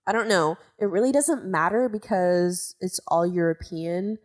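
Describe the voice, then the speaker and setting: A woman's voice: lowish voice, a little slow. A young woman, tipsy and giggly, talking playfully and rambling as a podcast guest, a native Russian speaker. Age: young adult. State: drunk. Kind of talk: tipsy casual podcast chat.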